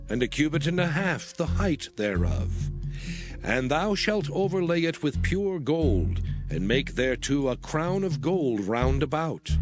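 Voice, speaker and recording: A man reading scripture aloud as dramatized audio Bible narration, formal and solemn, with measured phrasing. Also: on the high side. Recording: prominent music under the voice. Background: music.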